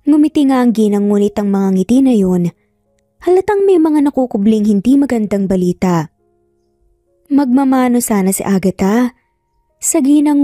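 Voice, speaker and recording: A woman narrates a dramatic story with a mellow, fairly high voice. The delivery is scripted storytelling, laid over background music. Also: no room sound, studio quality.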